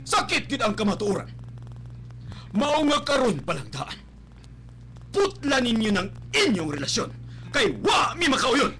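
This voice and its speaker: A man's voice: very high-pitched, huge pitch swings, unhurried, pauses often, sharp tone, full character voice. A man acting a rich, snobbish, scolding father with a Cebuano accent in a melodramatic radio drama. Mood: furious. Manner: haughty, scolding, explosive. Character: rich, snobbish father scorning daughter's poor suitor. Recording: noisy live-stream radio capture with hum.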